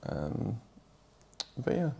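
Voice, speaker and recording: An English man talking casually with his partner, relaxed and hesitant, with many fillers. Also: extremely slow. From England. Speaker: guest partner. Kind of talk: casual chat.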